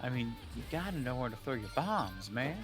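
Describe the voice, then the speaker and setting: A man's voice: mid-range voice, very animated, normal speed, faint music behind. A man joking casually as he comments on a video game with friends. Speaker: co-player in a group fandub playthrough.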